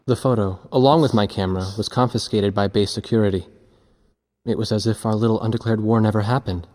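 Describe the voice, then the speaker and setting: Low-voiced reflective war journalist played by a man. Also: fairly even intonation, a little mellow, close to an everyday voice. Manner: measured, reflective storytelling. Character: thoughtful male war photojournalist narrating past events. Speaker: narrator. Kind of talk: scripted cinematic narration.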